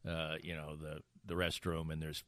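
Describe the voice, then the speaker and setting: A man's voice: extremely low pitch, monotone, moderate pace, fairly bright tone, dry recording. A middle-aged American man hosting a podcast, bantering casually and genially with a wry streak. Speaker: podcast host. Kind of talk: casual banter over beers.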